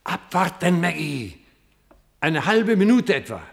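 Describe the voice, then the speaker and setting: An actor playing an agitated, insistent professor in a science-fiction radio play, giving urgent commands in short bursts. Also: male voice, a little high, very animated, measured pace, gravelly, heavily acted. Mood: anxious suspense. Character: agitated, insistent male professor in a bunker experiment. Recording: long echoing reverb, noisy old radio tape.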